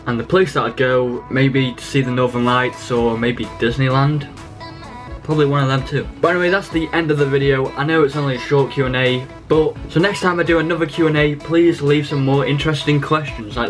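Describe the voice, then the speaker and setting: A man's voice: mid-range voice, fairly even intonation, a little fast, faint music behind. A fifteen-year-old boy with an English accent, talking casually to camera as a vlogger answering questions. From England. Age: 15, teenager. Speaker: vlogger, channel host. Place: small bedroom, indoors.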